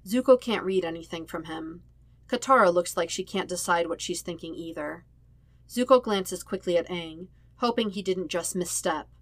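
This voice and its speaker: A woman narrates a story in a fairly low voice. The sound is dry, with hardly any room around her, and the delivery is that of scripted audiobook-style reading. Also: moderate pace, no echo. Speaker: podfic reader of fan fiction.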